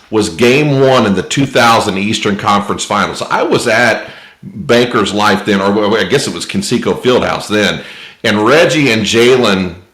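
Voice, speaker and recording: A middle-aged American man hosting a casual podcast interview, talking in a halting, rambling, fan-like way. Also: lowish voice, fairly bright tone. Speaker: podcast host. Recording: roomy echo on the voice.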